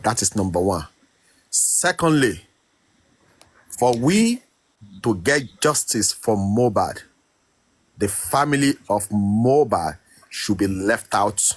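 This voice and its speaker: A man giving his personal opinion in a deliberate way during a casual group discussion. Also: lowish voice, husky, dry recording. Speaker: participant in online discussion.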